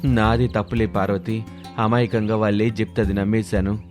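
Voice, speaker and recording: A kindly village husband performed by a man in a cartoon moral tale. He is trusting and obliging, in a lowish voice over music. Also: level tone, moderate pace, darkish tone, close to an everyday voice. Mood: forgiving.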